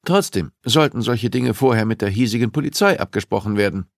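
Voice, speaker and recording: A man's voice: lowish voice, lively intonation, brisk, vocal fry, no echo, studio quality, pitched above the performer's own voice. A man voicing a worried, reluctant newspaper publisher in an audiobook, wary and grudging in manner. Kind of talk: audiobook dialogue acting.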